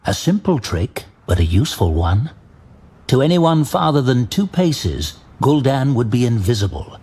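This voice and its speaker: A man narrating a fantasy audio drama in a measured, deliberate, suspenseful storytelling style. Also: medium pitch, very animated, darkish tone, husky. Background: music.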